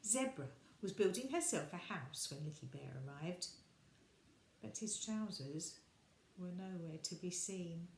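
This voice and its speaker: A woman reading a picture book expressively to children, animated and adding little asides for her young listeners. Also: fairly low pitch, a little slow. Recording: echoey room with background noise.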